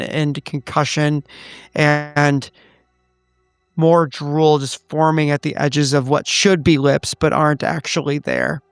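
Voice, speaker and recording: A man narrating a tabletop horror game in a deliberate, dramatic, descriptive way. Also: on the high side, smooth, no room sound, clean studio sound. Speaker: tabletop roleplaying game cast member.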